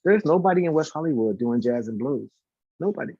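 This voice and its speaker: A man's voice: a little high, lively intonation, brisk, dark tone, breathy edge, dry recording, dull audio. A man chatting casually and informally as an interview guest, talkative and prone to repeating words for emphasis. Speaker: guest, singer and concert organizer.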